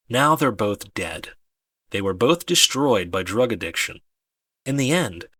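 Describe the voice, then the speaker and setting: Guy narrating an audiobook, really animated pitch, crisp forward tone, dry close sound, leans on key words. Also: no room sound. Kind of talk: dramatic scripted narration.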